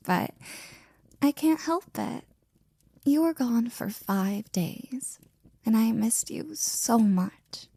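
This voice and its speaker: A woman playing a flirty, devoted maid in an intimate ASMR roleplay, breathy and often near a whisper, with a teasing, affectionate manner. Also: mid-range voice, warm tone, no room sound, exaggerated voice. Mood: wistful, flirty. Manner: teasing, affectionate, slow and drawn out.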